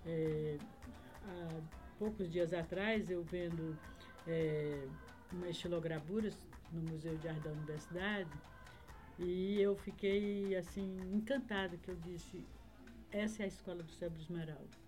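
A woman's voice: on the low side, fairly even intonation, unhurried, a little mellow, faint music behind. A woman from Acre, Brazil, a podcast guest, reminiscing in a rambling way with many fillers and tag questions. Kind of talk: casual conversation, reminiscing.

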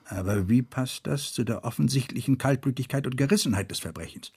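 A man's voice: medium pitch, a little fast, darkish tone. An actor playing a troubled, sleepless male murder investigator who broods and thinks aloud in a radio drama. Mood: brooding, weary. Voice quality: husky.

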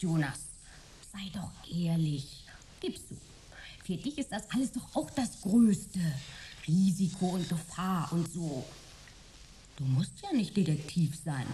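A woman's voice: lowish voice, warm tone, slight background noise. A woman playing a spirited young adventurer in a sci-fi radio drama, gushing, teasing and insistent. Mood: teasing, defiant. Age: young adult. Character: spirited, enthusiastic, headstrong young woman, detective's friend.

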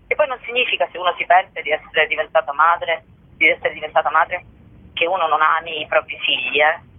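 Woman guest on a radio phone-in interview, thinky and halting, keeps rephrasing, thin dry phone sound. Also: on the low side, slightly rough, no echo. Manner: reflective, halting, rephrasing as she explains. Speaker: playwright and actress, interview guest. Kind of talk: radio interview, explaining own work. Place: remote phone call to a radio show. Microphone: telephone. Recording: tinny, band-limited telephone line.

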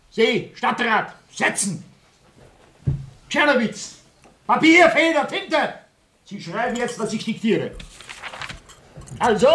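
Radio play major: high-pitched, hard-edged, hectoring, used to giving orders and bullying. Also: male voice, bright, forceful tone, full character voice. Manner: commanding, hectoring, dictating. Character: bullying reactionary major barking orders and insults.

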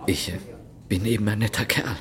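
A man's voice: lowish voice, fairly even intonation, brisk, lightly acted. An actor in a radio drama playing an earnest, uneasy East German policeman, hesitant and sincere in his questioning manner. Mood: hesitant, curious.

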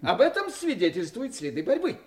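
A man's voice: extremely high pitch, wildly swooping pitch, a little fast, exaggerated voice. A male actor playing a self-assured, accusing police inspector in a radio play, with an emphatic, declamatory delivery. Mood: confident, expounding. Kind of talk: Russian radio play acting.

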